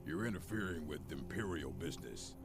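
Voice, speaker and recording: An actor playing a crooked, smug soldier in a fantasy game, officious and menacing. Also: male voice, a bass voice, animated, fairly quick, music in the background. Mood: smug menace. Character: crooked male Imperial soldier, bullying and smug bandit. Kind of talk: fantasy game character dialogue.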